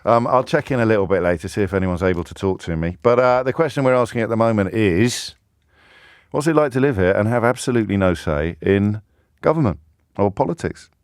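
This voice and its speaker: A man's voice: lowish voice, lively intonation, a little fast, clean tone, dry recording. A man hosting a radio phone-in, talking in a chatty, wry and fluent way from a studio microphone. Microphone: studio microphone, close and dry.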